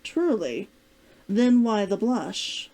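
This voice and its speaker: A woman reading aloud as a protective, gently teasing man in a storybook, measured and probing. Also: mid-range voice, sing-song, heavily acted, low-quality recording. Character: concerned, teasing adult man, protective old flame. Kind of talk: storybook character dialogue read aloud.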